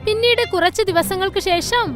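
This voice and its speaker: A woman's voice: very high-pitched, fairly quick. A woman narrating a scripted children's fairy tale as storyteller. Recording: faint music under the voice.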